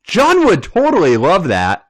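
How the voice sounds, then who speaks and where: A man's voice: sits high, huge pitch swings, unhurried, pitched above the performer's own voice, heavily acted. A man voicing an emotional, bubbly young woman who is eager and persuasive.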